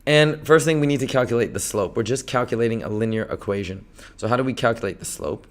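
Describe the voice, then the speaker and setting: Hoarse man tutoring math live. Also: mid-range voice, fast talker. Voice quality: gravelly, strained. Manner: energetic, talks through problems step by step. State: hoarse, losing his voice.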